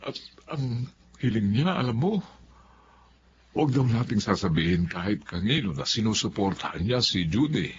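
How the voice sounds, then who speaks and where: A man's voice: on the low side, lively intonation, normal speed, lo-fi sound, a little hiss or hum, lightly acted. An actor playing an earnest Filipino father in a radio drama. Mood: earnest, hesitant.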